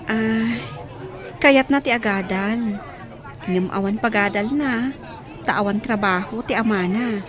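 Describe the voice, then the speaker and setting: A woman's voice: on the high side, slow pace, long pauses, a crowd in the background. A woman playing a concerned young friend in a radio drama, earnestly explaining a family's troubles.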